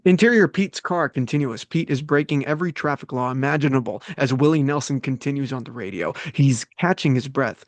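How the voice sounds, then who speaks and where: A man's voice: mid-range voice, a little fast, hardly any pauses, no echo. Male narrator for a script read, medium register, delivering stage directions in a dry space.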